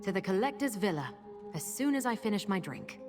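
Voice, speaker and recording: An actress playing a guarded, kind-hearted wanderer in a fantasy video game, with a wry, understated delivery. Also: female voice, medium pitch, very animated, fairly quick, music in the background. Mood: casual, wry.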